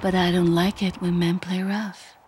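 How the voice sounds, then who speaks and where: A woman's voice: fairly low pitch, little pitch movement, normal speed, a little mellow, a little hiss or hum, lightly acted. A woman playing a cool, sly, teasing spy in a video game, with an aloof, dry manner. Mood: mocking, teasing. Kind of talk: video game cutscene acting.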